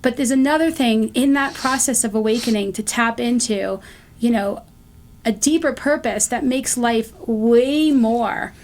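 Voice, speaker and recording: A woman's voice: a little high, measured pace, darkish tone. A woman hosting a podcast about recovery, earnest and emphatic, encouraging her guest. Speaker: podcast host, recovery store owner. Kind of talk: casual podcast conversation.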